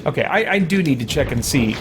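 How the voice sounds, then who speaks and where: A man's voice: a little high, animated, fast, background noise. A man giving casual let's play commentary, thinking aloud and muttering to himself as he plays. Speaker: gaming channel host.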